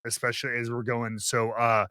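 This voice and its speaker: A man hosting a wrestling podcast, previewing events in a theatrical announcer style with drawn-out emphasis. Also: medium pitch, level tone, pushed and bright, no echo, clean studio sound. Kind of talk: animated show introduction and wrestling preview.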